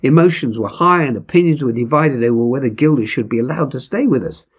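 A man in his seventies with a husky voice, reading a short story aloud expressively. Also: mid-range voice, lively intonation, quick pace. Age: 70s. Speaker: story reader. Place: indoors in a wood-beamed room at home.

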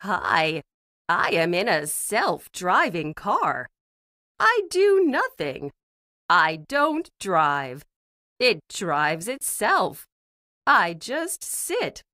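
A woman voicing a relaxed, contented elderly lady in a scripted English lesson, speaking in simple, unhurried sentences. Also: on the low side, no echo, studio quality, full character voice. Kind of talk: scripted English-lesson monologue read slowly.

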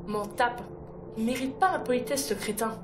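Woman playing a hot-headed rude rebel girl, high voice, blunt as anything, audio drama. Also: moderate pace, noisy background, natural voice. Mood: scornful. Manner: blunt, sharp-tongued, casual.

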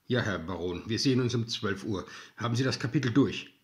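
A man's voice: on the low side, brisk, breathy edge. A man playing a firm, clipped associate on the phone in a radio drama. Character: curt male associate on the phone.

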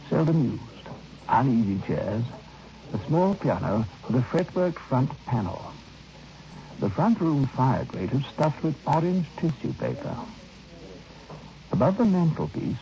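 A reflective male writer telling an uncanny tale for old radio. His voice is mellow and gravelly, with measured phrasing and dramatic pauses. The recording is dull and slightly noisy. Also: mid-range voice. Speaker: narrator. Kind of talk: old radio drama first-person narration.

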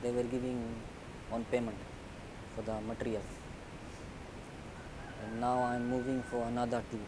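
Middle-aged Fijian man, interview, lapel mic, plain speech, steady rumble behind. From Fiji. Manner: plain, halting and conversational, with tag "eh?". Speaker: poultry farmer. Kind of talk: interview answers. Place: indoors, plain room.

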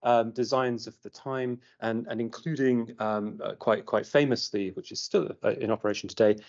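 British male academic presenter, informal and halting, mid-pitched voice, muffled lo-fi recording. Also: fairly even intonation, a little mellow. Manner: halting, often repeating words. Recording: muffled lo-fi online meeting audio.

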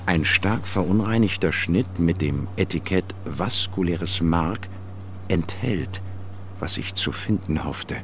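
An actor playing an inquisitive biologist narrating a space expedition in an audio drama, in a measured, explanatory manner. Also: male voice, low voice, fairly bright tone, slight background noise. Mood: reflective.

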